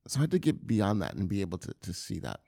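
Earnest gravelly-voiced man giving testimony. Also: deep voice, huge pitch swings, dark tone. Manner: earnest, confessional, halting with repeated words. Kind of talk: personal testimony sermon.

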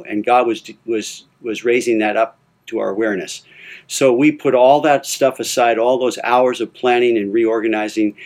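An older man speaking as a pastor, earnest and measured. He sits in the middle of the male range. Now and then he stops and restarts a phrase. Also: mid-range voice. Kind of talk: recorded leadership advice talk.

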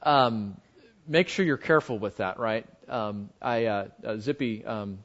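An American man giving an informal Bible lesson to a group, talking conversationally and haltingly, with frequent asides. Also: on the low side, fairly bright tone. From United States. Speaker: Bible class teacher. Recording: dull, muffled lo-fi audio.

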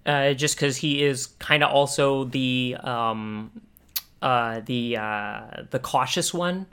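Male podcast co-host with a mid-range voice, offhand and halting, giving conversational pop-culture commentary. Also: mid-range voice, bright, forceful tone, clean tone.

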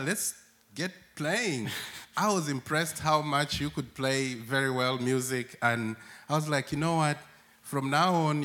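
A man's voice: medium pitch, a bit bright. A man from Uganda, a church worker telling stories as a guest and laughing often. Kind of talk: warm storytelling conversation.

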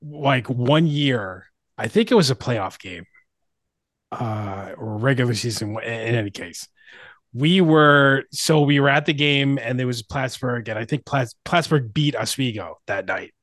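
A man's voice: mid-range voice, a little slow, a bit bright, no room sound. A man hosting a sports podcast in a casual, conversational way. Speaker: podcast host.

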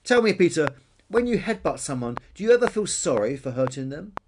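A preachy storybook brontosaurus, voiced by a man, high and earnest. The voice swings up and down as it admonishes, over faint background noise. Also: very animated, a little fast. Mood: earnest reproach. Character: preachy, well-meaning long-necked brontosaurus, earnestly scolding. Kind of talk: children's storytelling character voice.

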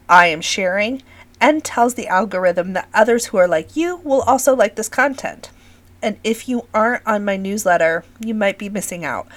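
A middle-aged woman with a raspy, scratchy voice talks casually to her listeners. She sounds a little worn, chatty and friendly as she hosts her podcast. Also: mid-range voice. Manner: chatty and warm-hearted, addresses listeners as friends. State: recovering from illness, scratchy voice. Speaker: podcast host.

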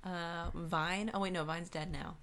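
A woman with a somewhat low voice speaks as a podcast co-host. Her delivery is informal and wry, like a casual chat about books with a friend. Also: steady tone, a little slow, fairly bright tone. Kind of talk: casual book discussion chat.

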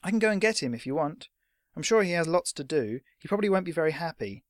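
A man's voice: on the high side, animated, fast, no room sound, studio quality, pitched above the performer's own voice, close to an everyday voice. A man voicing a young boy in a storybook, earnest, polite, hesitant and naive. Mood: sly.

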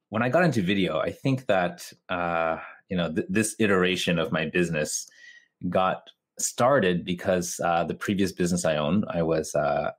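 Male interview guest, deep register, North American accent, conversational explainer. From Japan. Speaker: interview guest, video marketer. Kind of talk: casual interview answers.

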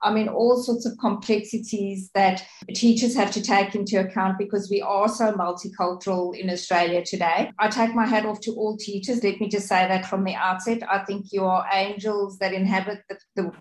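A woman's voice: medium pitch, steady tone, normal speed, few pauses, a little hiss or hum. Afrikaans-speaking woman podcast host, roomy. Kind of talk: podcast interview.

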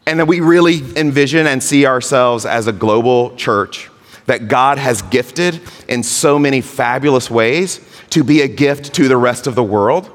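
A man preaching a sermon as pastor, earnest, with drawn-out phrasing. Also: medium pitch. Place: church sanctuary. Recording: long hall reverb.

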